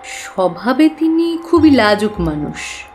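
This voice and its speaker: A woman's voice: a little high, very animated, slow pace, a little mellow, soft background music. An actress playing an earnest, trusting young woman client who carefully recounts her troubles in an audio drama. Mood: earnest, troubled.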